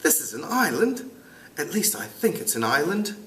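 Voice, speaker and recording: A man's voice: on the high side, big pitch swings, moderate pace, a bit bright, roomy sound, voice pushed up. A man voicing a cool, offhand English schoolboy with a put-on British accent while reading a novel aloud. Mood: offhand, solemn.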